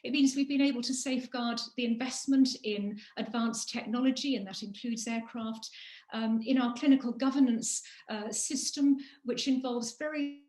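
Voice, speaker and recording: A woman from a charity giving a measured, emphatic webinar presentation over a video call. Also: a little high, steady tone, darkish tone, echoey room. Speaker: charity executive speaking on a panel.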